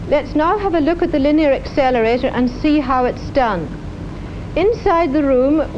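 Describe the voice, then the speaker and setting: A woman doctor explaining a treatment in a measured, instructive way as a live television demonstration. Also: high-pitched. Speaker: doctor presenting radiotherapy treatment. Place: hospital radiotherapy department. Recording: dull, noisy 1950s television recording.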